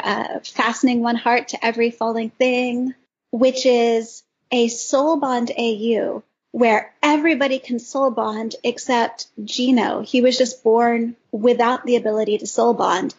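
Husky, higher-voiced enthusiastic woman. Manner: enthusiastic, gushing. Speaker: podcast panelist. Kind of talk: casual chat, recommending fan audio stories. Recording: dull lo-fi audio.

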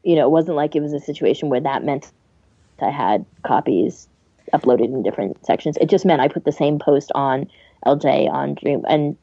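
A woman talks casually as a podcast co-host. Her voice is dark and relaxed with noticeable vocal fry, and it sits slightly low. The recording is dry and a little dull. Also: normal speed, dry recording. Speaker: podcast co-host reading listener questions.

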